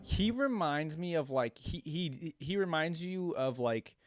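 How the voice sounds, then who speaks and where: A man's voice: on the high side, animated, measured pace, no echo, studio quality. A man hosting a casual reaction video, musing aloud with lots of fillers and repeating lines back to himself.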